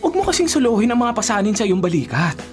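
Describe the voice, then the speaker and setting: Woman voicing an animated, smooth-talking Filipino suitor. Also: medium pitch, fast, soft background music, natural voice. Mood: earnest, encouraging.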